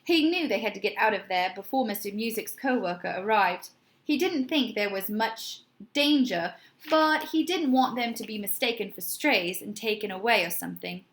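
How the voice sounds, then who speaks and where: A woman's voice: medium pitch, animated, moderate pace, fairly bright tone. A woman reading a children's storybook aloud expressively, like a teacher putting questions to young listeners.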